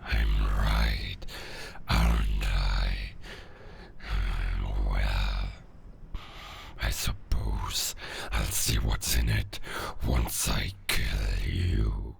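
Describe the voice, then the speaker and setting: Werewolf villain: bottomless gravel growl, drawn-out predatory delivery, cold and taunting, audio drama. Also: male voice, extremely low pitch, little pitch movement, a little hiss or hum, full character voice. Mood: taunting menace. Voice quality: gravelly, growling, breathy at times. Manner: slow, deliberate, taunting menace. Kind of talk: cinematic audio roleplay acting.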